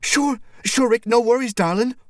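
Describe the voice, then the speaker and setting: A man puts on a nervous, streetwise woman from a horror story. The voice is lifted high and hesitant, stammering through the lines with timid desperation, over background music. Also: moderate pace, dry recording, clean studio sound. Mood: startled, placating. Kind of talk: dramatised horror audiobook dialogue.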